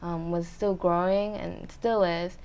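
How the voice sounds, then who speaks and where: A young woman answering interview questions in a thoughtful, measured way with frequent pauses. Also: on the low side, fairly even intonation, a little mellow, clear, resonant tone. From Australia. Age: young adult. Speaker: interview guest, university student.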